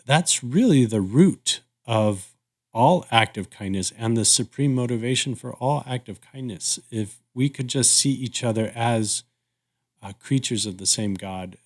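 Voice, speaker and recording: A man co-hosting a reflective conversation, speaking thoughtfully and haltingly as he searches for words. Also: lowish voice. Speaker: podcast host.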